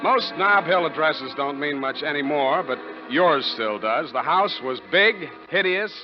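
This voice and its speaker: An actor playing a cocky, wordy private detective in a 1940s radio drama, deadpan and mock-pompous. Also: male voice, fairly high pitch, a little slow, over music. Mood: wry, dry. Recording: muffled vintage 1940s radio recording.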